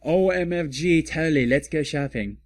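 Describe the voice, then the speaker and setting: A man voicing a perky, excitable gothic teenage girl sidekick with a bubbly, exclamatory manner in a comic story. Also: a little high, normal speed, no echo, pitched above the performer's own voice, natural voice. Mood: excited.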